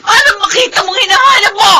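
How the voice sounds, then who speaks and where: A woman's voice: very high-pitched, fast, very noisy. A sobbing, enraged young mother. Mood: sobbing rage. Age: young adult. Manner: crying and shouting. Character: young mother just after childbirth, hurt and furious. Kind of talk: classic radio drama acting.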